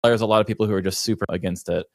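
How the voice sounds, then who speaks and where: Male podcast co-host, lowish voice, dry sound, casual explainer with joking banter. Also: steady tone, racing, no echo.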